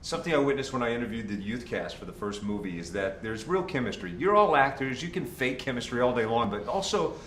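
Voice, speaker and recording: A man's voice: medium pitch, lively intonation, fast talker, few pauses, fairly bright tone, a little hiss or hum. A man hosting an entertainment show, interviewing actors and talking to the camera with jokey enthusiasm. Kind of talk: upbeat interviewer banter.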